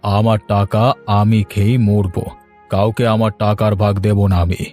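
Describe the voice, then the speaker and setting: A greedy village husband from a cartoon, played by a man, grumbling in a low, dark voice. He is sarcastic and grumpy, with music playing behind him. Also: little pitch movement, normal speed, natural voice. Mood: defiant, greedy. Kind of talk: Bengali cartoon story acting. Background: background music.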